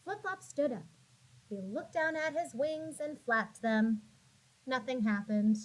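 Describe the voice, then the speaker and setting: A woman with a fairly high voice reads a picture book aloud for young children. She goes very slowly, stretching words out and giving the narration dramatic, teacherly expression. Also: lively intonation, rough recording. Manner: dramatic, drawn-out words and sound effects for young listeners. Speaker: classroom teacher reading aloud.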